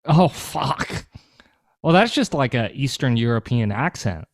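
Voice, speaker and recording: A man's voice: mid-range voice, lively intonation, unhurried, no room sound, clean studio sound. A man co-hosting a casual podcast, rambling and swearing often. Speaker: podcast co-host.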